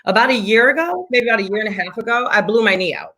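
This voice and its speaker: A woman's voice: on the high side, expressive, rapid-fire, sharp tone. A woman in her forties, a fitness instructor, chatting enthusiastically and repeating herself for emphasis. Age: 40s. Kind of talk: casual chat.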